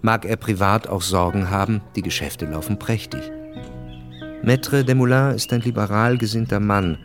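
Low-voiced man narrating a radio drama. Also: over music. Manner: measured, formal.

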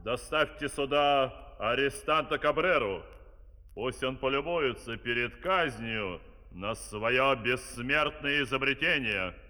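An actor playing an imperious villainous dictator, giving orders in a pompous theatrical manner. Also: male voice, mid-range voice, slow pace, pushed and bright. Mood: commanding, pompous. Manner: pompous, commanding. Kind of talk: theatrical children's audio play acting. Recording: 1970s vinyl record, long hall reverb.

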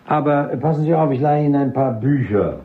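Husky, animated army psychiatrist. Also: male voice, a little high, fairly quick, narrow, tinny audio. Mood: brisk, explanatory. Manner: didactic, formal, clipped military address. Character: brisk, authoritative male army psychiatrist with major's rank. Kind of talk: German radio drama acting.

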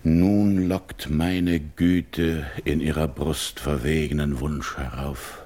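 A brooding, commanding king in a classical radio drama. His voice sits very low and he declaims verse at a slow pace, full of wounded anger. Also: fairly even intonation, dark tone, full character voice. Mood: bitter brooding. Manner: declamatory, measured verse delivery.

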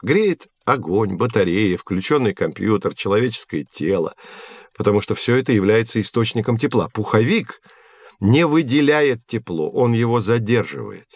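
An actor playing a patient, knowledgeable science teacher who explains in a measured, articulate way in an audio play. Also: male voice, on the low side, expressive, no room sound. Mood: explaining. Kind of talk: scripted educational audio play.

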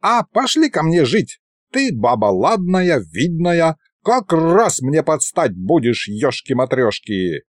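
A man's voice: on the high side, very animated, slow, dry recording, voice pushed up, heavily acted. A man voicing a sly, boastful old ghost wizard who talks in rustic, old-fashioned speech. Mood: wooing.

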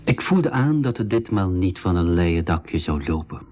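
An actor narrating a travel adventure in the first person, in a measured, literary radio-drama style. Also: male voice, low-pitched, very animated, muffled recording. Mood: sober, foreboding.

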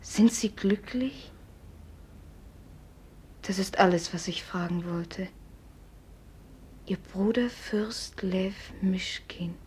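A woman's voice: medium pitch, slow pace, pauses often, a little mellow, a little hiss or hum, lightly acted. A woman playing a proud, suspicious young society lady in a German radio drama, with a theatrical, imperious manner. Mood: hushed, moved. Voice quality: breathy.

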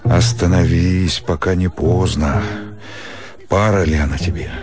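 An actor playing a weary, lovestruck country doctor who muses to himself in broken phrases in a radio play. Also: male voice, sits low, fairly even intonation, a little mellow, faint music behind, some chatter behind. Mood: self-doubting. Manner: slow musing, broken phrases, talking to himself. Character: weary young country doctor in love, brooding and torn. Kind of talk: radio play inner monologue.

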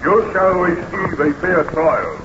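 A man's voice: a little high, sing-song, slow pace. A man playing a stern, stubborn burgomaster in a 1930s radio serial, formal, officious and accusatory. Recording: dull, noisy vintage radio recording.

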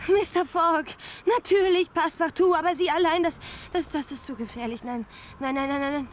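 A woman performs a devoted, anxious young lady in an audio play. Her voice is extremely high and agitated, pleading tearfully. Faint noise sits behind it. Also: moderate pace, lightly acted. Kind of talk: audio drama acting.

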